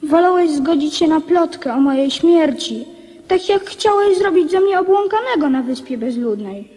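High-voiced, earnest boy king. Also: expressive, mellow. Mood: solemn. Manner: measured, formal, authoritative. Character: young boy king, earnest, forgiving, dignified. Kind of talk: radio play acting. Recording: long reverberant echo.

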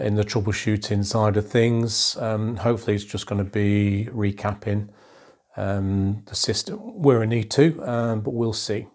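A middle-aged man in a home workshop talks calmly and methodically through a radio repair, with many ums and uhs. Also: fairly low pitch. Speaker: electronics restorer.

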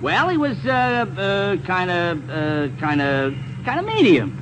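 High-pitched, chatty gas station kid. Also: male voice, very animated, measured pace. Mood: dim, dithering. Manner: talkative, dithering, folksy. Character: chatty, slow-witted young gas station attendant, pushy salesman. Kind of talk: 1950s radio comedy-drama acting. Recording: noisy old 1951 radio recording.

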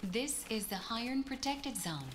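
A woman's voice: on the high side, lively intonation, a little slow, a little hiss or hum. A woman playing a video game system announcer, reading short scripted notifications. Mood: neutral. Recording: game audio under loud music.

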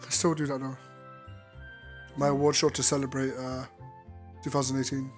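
A man talks loosely to his viewers, his voice audibly strained and worn from recent illness. He swings between tired mumbling and loud, crude, teasing outbursts, and he swears freely. Faint music sits behind him. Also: mid-range voice, flat delivery, normal speed. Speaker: VRChat streamer. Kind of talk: casual chat. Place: online virtual-reality social game.

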